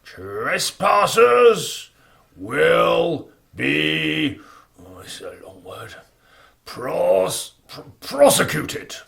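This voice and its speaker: Grumbling creaky giant, swooping wildly. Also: male voice, on the high side, big pitch swings, very slow, fairly bright tone, heavily acted. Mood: indignant, gruff. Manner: grumpy, blustering, exaggerated. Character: grumbling, selfish old male giant, loud and bullying. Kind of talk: theatrical children's storytelling.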